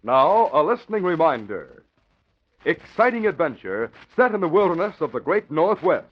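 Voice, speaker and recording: Male radio narrator, 1940s style, slightly high pitch, dramatic, crisp delivery, dull vintage broadcast sound. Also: big pitch swings, normal speed. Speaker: announcer and narrator. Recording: muffled 1940s radio recording.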